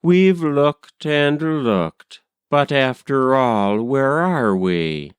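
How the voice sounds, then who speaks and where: Male poetry reader, medium pitch, smooth and resonant, deliberate storytelling delivery, dry close sound. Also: lively intonation, no room sound. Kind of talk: measured literary poem recitation.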